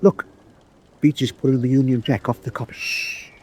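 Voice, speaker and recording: A man's voice: medium pitch, steady tone, moderate pace, a little mellow, slightly rough, lightly acted. An actor playing an urgent fellow naval officer who presses his comrade insistently in radio drama dialogue. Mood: anxious, insistent.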